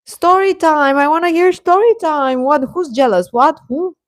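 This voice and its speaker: A woman's voice: sits high, normal speed, no echo, clean studio sound. A woman hosting a livestream, chatting casually and reacting to viewers, often repeating her phrases. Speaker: livestream host.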